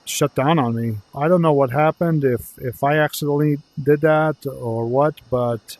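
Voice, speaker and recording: A man thinking aloud haltingly as he plays a pilot in a flight simulator, mixing commentary with radio calls. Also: on the high side, darkish tone, no echo.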